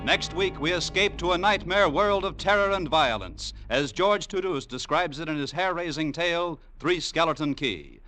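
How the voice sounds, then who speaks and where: A man's voice: fairly high pitch, lively intonation, moderate pace, few pauses, bright, forceful tone. An American man reading a scripted announcement in the style of 1940s network radio. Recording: old 1940s radio broadcast, music.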